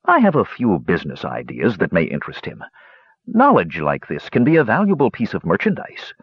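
A man's voice: deep voice, huge pitch swings, brisk, dry recording, highs cut off, heavily acted. A man doing a scheming greedy shopkeeper. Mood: calculating. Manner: calculating, smooth-talking. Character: scheming middle-aged male human shopkeeper, greedy.

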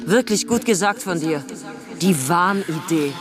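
Radio drama: accusing mother, measured and unhurried, with music underneath. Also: on the low side, expressive. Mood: reproachful. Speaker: actor in radio play. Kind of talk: German radio drama acting. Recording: music bed behind the voice.

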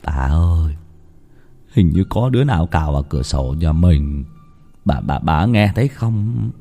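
A man's voice: a bass voice, expressive, moderate pace, dark tone, soft background music, voice pushed down, full character voice. A man voicing a frightened old village chief who stammers and trembles in a ghost story.

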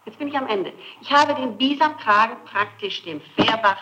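A middle-aged woman answering questions in a recorded interrogation, insisting and denying in halting, repetitive phrases. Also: a little high, sharp tone. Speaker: suspect under interrogation. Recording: noisy 1960s archival tape.

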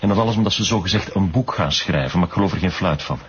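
An actor playing a guarded, formally courteous director of a women's shelter in a radio drama, with a Flemish accent and measured speech. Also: male voice, deep voice, slightly rough. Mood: wary, conspiratorial. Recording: dull lo-fi radio drama recording.

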